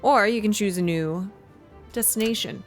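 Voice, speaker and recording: A woman's voice: mid-range voice, normal speed. Woman streaming a game, faint music behind. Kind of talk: casual livestream commentary, reading game text aloud.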